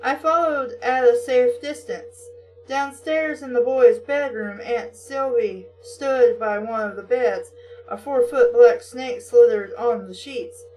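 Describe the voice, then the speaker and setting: A woman's voice: on the high side, slow, a little mellow, far from the mic, soft background music, rough recording. A woman reading a story aloud and breaking off into sarcastic, sweary, exasperated casual commentary. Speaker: reader and commentator.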